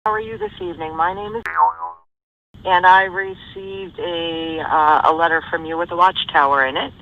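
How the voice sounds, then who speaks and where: A woman's voice: fairly low pitch, expressive, moderate pace, vocal fry. A woman making a polite, somewhat hesitant phone call. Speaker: caller. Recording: dull, lo-fi recorded phone call.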